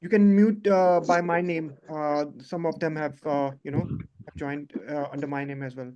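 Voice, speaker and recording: A webinar colleague with a medium-pitched voice gives casual, halting instructions. There is faint noise on the call. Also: steady tone, warm tone, dull audio.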